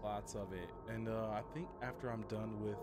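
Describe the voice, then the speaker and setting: A man's voice: on the low side, fairly even intonation, normal speed, heavy background noise. A man live streaming a space flight game, chatting casually with viewers and giving short voice commands. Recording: loud music over the voice. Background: loud music, vehicle engine sounds from the game.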